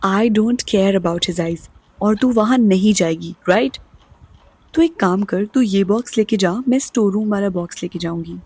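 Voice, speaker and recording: A woman's voice: medium pitch, a little slow, darkish tone, breathy edge, dry recording. A woman playing an anxious, scolding young college girl and protective best friend in an audio drama, exclamatory and fretful. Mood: protective worry. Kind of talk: Hindi-English audio drama acting.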